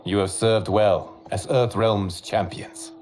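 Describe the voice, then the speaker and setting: An actor playing a wise, serene monk guardian who speaks in a formal, measured way. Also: male voice, on the low side, steady tone, a little mellow, slight background noise. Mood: solemn. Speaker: leader of the champions.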